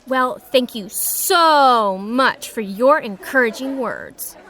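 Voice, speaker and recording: A woman's voice: a little high, lively intonation, slow, fairly bright tone, heavily acted. A woman playing an exasperated, determined schoolgirl with an emphatic, sarcastic manner in an audio drama. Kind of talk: scripted children's audio drama.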